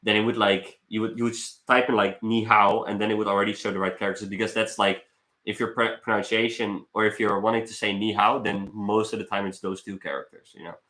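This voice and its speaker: The sound is roomy and echoey. A young man talks in a bright, forceful voice on the low side. He rambles casually like a streamer catching up with viewers, halting and repeating words as he goes. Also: little pitch movement. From Netherlands. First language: Dutch. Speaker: live streamer, travel vlogger. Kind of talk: casual live stream chat. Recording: roomy echo.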